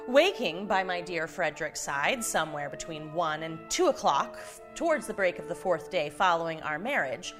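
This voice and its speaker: Woman acting a lively, lowish-voiced genteel wife narrator. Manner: formal, expressive storytelling. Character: genteel married woman, wry, reserved, recounting a ghost story. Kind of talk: dramatic reading of a light ghost story.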